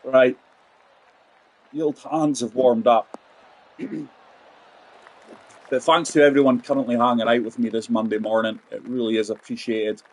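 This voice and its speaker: A man's voice: medium pitch, steady tone, unhurried, long pauses, a faint crowd, poor audio. A man in his forties giving chatty live commentary on a golf game, talking straight to his viewers. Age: 40s. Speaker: game streamer.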